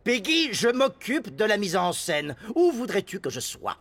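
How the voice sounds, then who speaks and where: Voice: mid-range voice, wildly swooping pitch, moderate pace, background noise. An actor voicing a pompous, gushing male theatre director germ in a French cartoon dub. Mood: curt. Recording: music under the voice.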